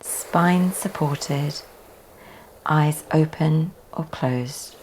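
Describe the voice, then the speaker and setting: A woman teaching yoga leads a guided relaxation meditation in a soft, often breathy delivery with long pauses. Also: sits low, rough recording. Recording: faint background noise.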